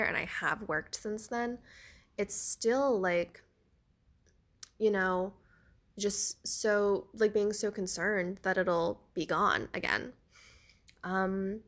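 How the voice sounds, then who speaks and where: A woman's voice: mid-range voice, a bit bright. Congested, nasal-sounding young woman podcaster. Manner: chatty, lots of fillers. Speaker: podcast host; theater actor. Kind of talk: solo podcast chat.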